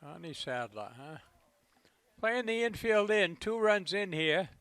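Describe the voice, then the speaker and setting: A man calling a local baseball game as play-by-play commentator, relaxed and conversational, with a voice that is starting to go. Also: a little slow, clean tone, dry recording. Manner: low-key, conversational calls. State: losing his voice.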